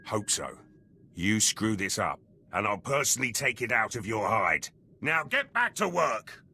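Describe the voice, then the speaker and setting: An actor voicing a gruff, battle-hardened soldier in a video game, terse and blunt, speaking in clipped sentences. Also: male voice, on the low side, big pitch swings, a little slow, sharp tone, full character voice. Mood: stern, irritated. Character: gruff, battle-hardened male military commando, loyal and brash.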